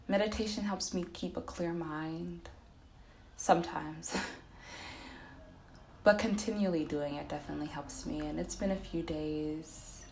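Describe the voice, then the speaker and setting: A woman leading a guided meditation in an informal, gentle, unhurried and soothing way. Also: lowish voice, a little hiss or hum.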